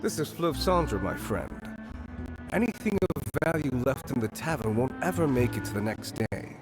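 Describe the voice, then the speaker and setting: An actor playing a shrewd, wry tavern informant in a video game, talking in a dry, knowing way. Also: male voice, medium pitch, big pitch swings, moderate pace, music in the background, lightly acted. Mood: wry, explaining.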